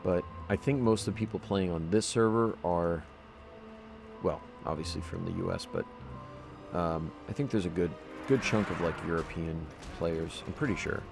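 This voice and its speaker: A man streaming a game, chatting casually with his chat in a rambling way, full of restarts and fillers. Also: deep voice. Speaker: livestreamer playing a game. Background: background music.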